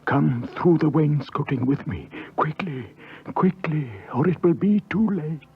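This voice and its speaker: A muffled vintage radio recording of an ancient male ghost. He is gravelly and drags out each solemn phrase with sorrowful, portentous weight. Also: fairly high pitch, lively intonation, soft background music. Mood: solemn, urgent. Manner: slow, portentous, hushed urgency. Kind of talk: 1950s radio drama acting.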